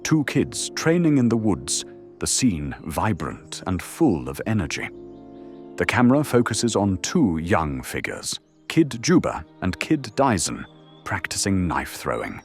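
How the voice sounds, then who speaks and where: A man's voice: low-pitched, wildly swooping pitch, a little slow. A man reading dramatic scripted narration for an anime audio drama. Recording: music under the narration.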